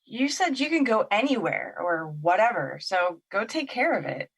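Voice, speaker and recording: A woman's voice: medium pitch, very animated, normal speed, slightly rough, poor audio. A wounded, skeptical young woman. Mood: bitter, hurt. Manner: plain-spoken, bitter, exasperated. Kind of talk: audio drama acting.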